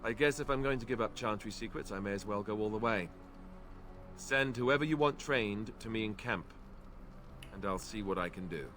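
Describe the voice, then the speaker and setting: A man's voice: fairly low pitch, moderate pace, a bit bright, soft background music, lightly acted. An actor playing an awkward, self-deprecating young male knight in a game, talking in a hesitant, rambling way. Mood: helpful. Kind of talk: game character dialogue.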